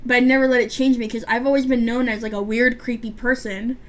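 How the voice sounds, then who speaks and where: 20 yr old girl vlogging her makeup, lisp n a little stutter, kinda high voice, lots of restarts. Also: little pitch movement, fast talker. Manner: rambling, chatty, frequent restarts and fillers. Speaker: beauty vlogger. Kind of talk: casual chat while doing makeup.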